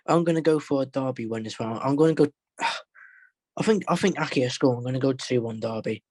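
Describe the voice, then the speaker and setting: A teenage young man with an average-pitched voice talks from his phone on a video call. He hesitates, sighs and restarts his sentences. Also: a little fast, darkish tone, no echo. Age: teens. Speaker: co-host.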